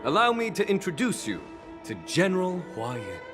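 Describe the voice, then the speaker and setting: A man's voice: high voice, wildly swooping pitch, normal speed, over music. A man playing a composed, affable general in a video game, speaking with formal courtesy. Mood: affable, welcoming. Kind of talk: video game story voice acting.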